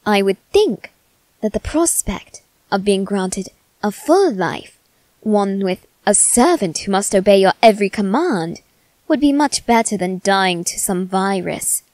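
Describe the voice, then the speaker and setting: A woman's voice: medium pitch, darkish tone, dry recording, lightly acted. A woman playing a teasing, haughty young male demon servant in a theatrical, mock-polite ASMR roleplay. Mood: impatient, coaxing. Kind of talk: ASMR character roleplay addressed to the listener.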